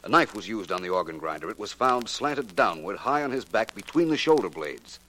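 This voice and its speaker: A man's voice: lowish voice, big pitch swings, a little fast, a bit bright. A man playing a suave, self-assured amateur detective with precise, polished speech in a 1940s radio drama. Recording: noisy 1940s radio broadcast recording.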